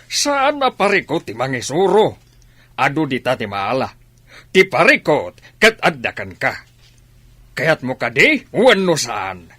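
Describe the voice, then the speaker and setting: A man's voice: medium pitch, huge pitch swings, measured pace, bright, forceful tone, no echo, heavily acted. A man with an Ilocano accent playing an authoritative, well-off father in a radio drama. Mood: questioning, firm.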